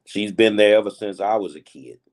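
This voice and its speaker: A man in his fifties hosts an interview show, speaking casually and with emphasis as he poses questions to his guest. His grammar is loose and conversational, and his voice sits a little on the low side. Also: a little fast. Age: 50s. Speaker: show host.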